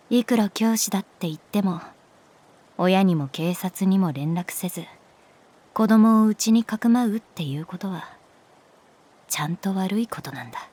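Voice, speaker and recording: A calm adult art teacher in an intimate audio drama. Her voice is on the low side and very unhurried, soft and wistful, with a resigned, protective tenderness. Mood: firm, resigned. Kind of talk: Japanese audio drama acting, intimate dialogue.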